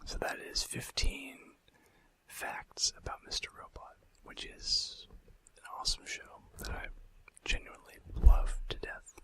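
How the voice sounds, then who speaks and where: A man doing an informal ASMR fact video in a breathy, unvoiced whisper with a hesitant delivery. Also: very high voice, monotone, unhurried, a bit bright. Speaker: ASMR host.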